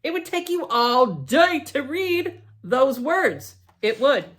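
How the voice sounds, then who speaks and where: A woman reading a picture book aloud to children, theatrically emphatic and playfully grumpy, with chatty deadpan asides. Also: high-pitched. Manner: theatrical, emphatic, with pauses and repeated words for effect. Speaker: library storytime reader.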